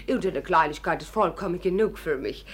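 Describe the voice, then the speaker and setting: A wealthy American society wife in an old 1950s radio play. She chatters and gushes with tactless enthusiasm, her slightly husky voice swooping widely up and down. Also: lowish voice, lively intonation, a little fast. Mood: flustered, eager. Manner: effusive, exclamatory, chattering.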